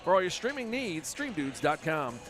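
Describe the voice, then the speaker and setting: A man hosting a local high school basketball broadcast, chatty and casual with many fillers. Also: sing-song, normal speed, music in the background. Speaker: play-by-play broadcaster.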